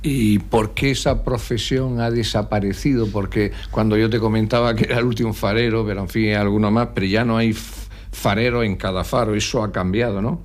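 A man hosting a weekly history segment on radio, asking halting, friendly questions. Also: fairly low pitch, steady tone.

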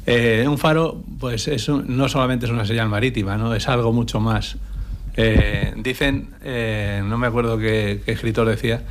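A man from Spain, a former lighthouse keeper, answering radio interview questions in a relaxed, hesitant way. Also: medium pitch, normal speed, background noise. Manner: relaxed, anecdotal, many hesitations. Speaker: guest, retired lighthouse keeper.

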